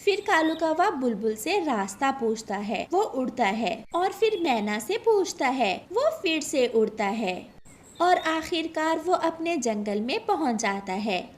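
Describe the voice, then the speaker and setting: Casting: female storyteller for children's cartoon, high voice, very animated intonation. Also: unhurried. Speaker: story narrator. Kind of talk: scripted children's cartoon narration in Hindi.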